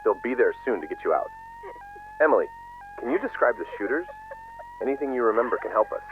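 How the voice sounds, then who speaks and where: A man playing an urgent but steady, reassuring emergency dispatcher in an acted phone call, giving short, firm instructions. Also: mid-range voice, fast. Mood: calm, reassuring. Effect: phone line filter. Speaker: 911 dispatcher. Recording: tinny phone line with music behind.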